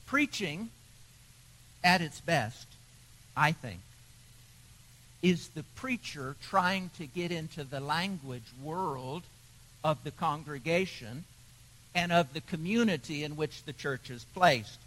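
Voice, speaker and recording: Slow-speaking preacher man. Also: on the high side, lively intonation, fairly bright tone, rough recording. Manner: storytelling, measured with pauses. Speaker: pastor. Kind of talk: sermon.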